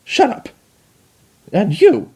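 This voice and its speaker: A man's voice: mid-range voice, huge pitch swings, a little fast, vocal fry, darkish tone, breathy edge, exaggerated voice. A man voicing a shrieking, imperious female changeling queen in a fan reading. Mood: screeching. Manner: screeching, imperious.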